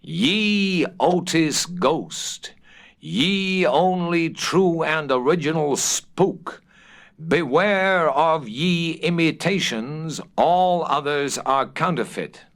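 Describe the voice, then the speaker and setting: A man voicing a proud, withered, vengeful old ghost in a storybook reading, with grandiose, drawn-out menace. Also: a little high, expressive, a bit bright, voice pushed up, full character voice. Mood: solemn dread.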